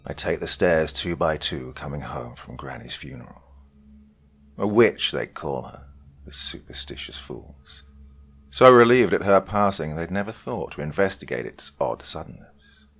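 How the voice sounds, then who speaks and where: A man with a deep, gravelly voice reads a dark tale with theatrical swings in volume. He drops to hushed whispers and then rises again. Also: sing-song, a little slow. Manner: dramatic, swinging between loud and whispered. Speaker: story reader. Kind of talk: dramatic horror story reading.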